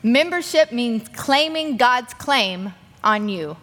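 A woman pastor reciting liturgy and leading a prayer in a measured, solemn manner. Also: on the high side, lively intonation, a bit bright. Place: large church sanctuary. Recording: church hall reverb with congregation.

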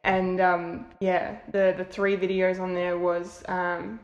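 A woman's voice: lowish voice, slow, lo-fi sound. A young woman in her late twenties, a podcast guest chatting casually and rambling a little over a video call. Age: 20s. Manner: relaxed, rambling with fillers and restarts. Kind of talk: casual interview chat. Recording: roomy echo.

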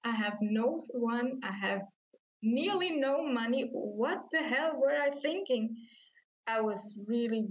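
A woman from Austria with an Austrian German accent, a native German speaker telling her story as an interview guest and acting out her own past thoughts. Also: a little high. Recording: slightly dull lo-fi sound.

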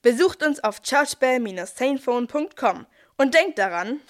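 A woman playing a brisk, managing archangel who narrates a nativity audio play. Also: sits high, lively intonation. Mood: salesy, upbeat.

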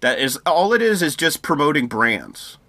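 Bright-voiced sarcastic man ranting. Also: fairly high pitch, very animated, normal speed, no echo. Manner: ranting, trailing restarts, sarcastic. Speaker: podcast co-host. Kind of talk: casual chat.

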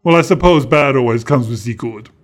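A man's voice: mid-range voice, a little fast, natural voice. A man voicing a creepy, glamorous woman who collects dolls, speaking with theatrical, sweetly menacing charm and calling people darling. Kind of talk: children's audio story acting.

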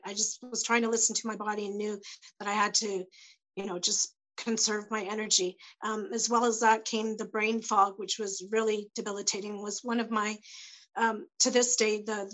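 A woman speaking as a panel guest, giving halting personal testimony with many pauses and restarts as she searches for words, her voice tending to go hoarse. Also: mid-range voice, fairly bright tone, rough recording. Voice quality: voice tends to go hoarse.

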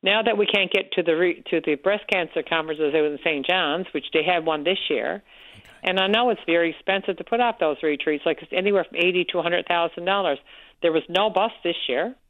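A woman with a Newfoundland accent calling in to a radio show, loud-voiced and frank, talking on and on. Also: on the low side, brisk, no echo. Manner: frank, talkative storyteller. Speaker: caller, support group organizer. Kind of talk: radio call-in chat. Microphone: telephone. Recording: tinny phone line.

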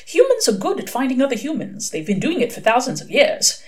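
A woman voicing a prim, earnest and gentle male angel in a storybook reading, hesitant and over-polite. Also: high-pitched, huge pitch swings, a little fast, pitched above the performer's own voice. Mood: thoughtful.

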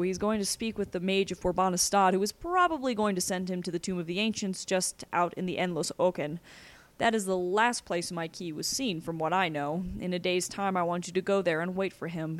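A woman's voice: on the low side, fairly quick, no room sound, natural voice. Woman as a breezy, sinister male villain. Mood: gleeful, sinister. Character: genial, sinister male villain, master of assassins.

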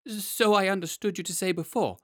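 A man voicing a timid, trembling blacksmith with a high, shaky, nervous voice. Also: brisk, fairly bright tone, dry recording, clean studio sound. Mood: frightened. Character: timid middle-aged male blacksmith, trembling.

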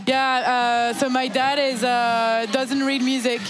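A woman with a French accent, a guest in a casual interview at a live event, talking in halting phrases with many fillers. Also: sits high, level tone. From France. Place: busy venue with a DJ playing music. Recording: live event with music behind.

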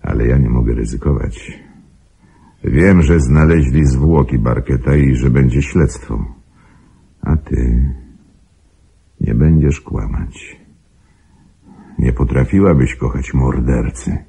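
An actor playing a coldly regretful murderer, softly resolute, in a radio drama. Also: male voice, extremely low pitch, slow, gravelly, pauses often, breathy edge, full character voice. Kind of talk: Polish radio drama acting.

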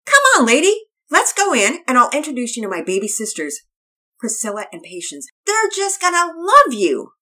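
A woman's voice: high-pitched, wildly swooping pitch, moderate pace, sharp tone, voice pushed up, exaggerated voice. A woman voicing an eager, gushing little girl in a read-aloud story.

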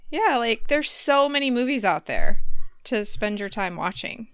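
A woman's voice: on the high side, big pitch swings, a little slow, a bit bright, clean tone, no room sound. A woman co-hosting a comedy podcast, chatting casually and reading out joke news items. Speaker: podcast co-host.

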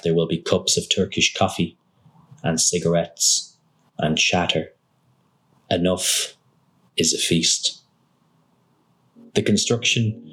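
Low, even-toned man reading literary prose. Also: rough recording. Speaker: actor reading a text aloud. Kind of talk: literary essay reading, scripted narration.

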